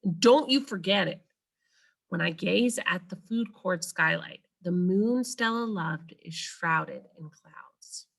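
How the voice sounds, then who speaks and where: A woman's voice: fairly low pitch, creaky, a bit bright, breathy edge. A woman reading a children's novel aloud as a storyteller. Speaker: read-aloud reader.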